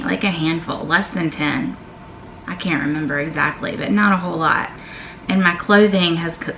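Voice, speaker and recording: A woman, talking openly as she reads out questions and answers them for her vlog. Her voice sits a little low and has a gravelly edge. She records in an echoey room with a faint hiss behind her. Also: fairly bright tone. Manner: chatty, candid, reads each question aloud then answers. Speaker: vlogger. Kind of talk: casual Q&A vlog.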